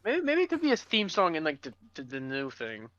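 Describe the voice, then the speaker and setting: A man's voice: a little high, wildly swooping pitch, a little fast, a bit bright. A man co-hosting a podcast in casual, slangy banter, joking and self-deprecating, on a slightly lo-fi close microphone. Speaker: podcast co-host.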